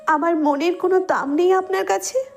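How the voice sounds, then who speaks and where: A woman's voice: extremely high pitch, moderate pace, soft background music. A woman playing a lovesick young bride in an audio love story, pleading and stubborn. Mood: hurt, insistent.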